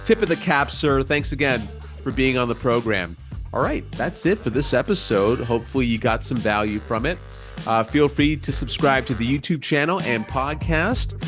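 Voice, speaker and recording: A man from Canada with a mid-pitched voice, hosting a podcast interview. His delivery is casual and appreciative, with informal phrasing, and faint music can be present. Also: expressive, normal speed, a bit bright.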